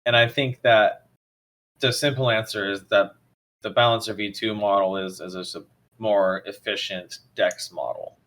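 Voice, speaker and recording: A man hosting a casual online crypto chat, relaxed, with frequent ums, trailing off softly. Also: fairly low pitch, moderate pace. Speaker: host of crypto investor AMA.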